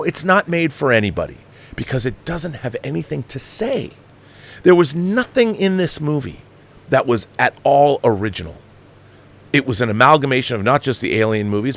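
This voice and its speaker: A man hosting a film commentary show, giving an opinionated, exasperated and emphatic review peppered with swearing. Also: mid-range voice. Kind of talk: opinionated movie review rant.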